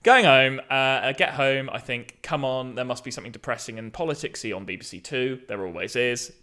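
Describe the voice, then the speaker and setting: A man in his thirties with a mid-pitched voice talks as a comedian co-hosting a podcast. He is casual and dry, turning the jokes on himself in loose, conversational banter. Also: a little fast, bright, forceful tone. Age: 30s. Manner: dry, self-deprecating.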